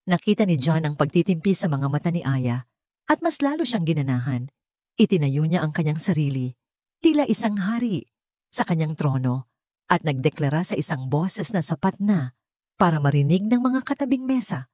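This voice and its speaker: A Filipino woman narrates a scripted drama in a fairly low voice. The sound is dry, with little room around it. She reads as an audiobook storyteller with a Filipino accent. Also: sing-song, normal speed, mellow, no room sound.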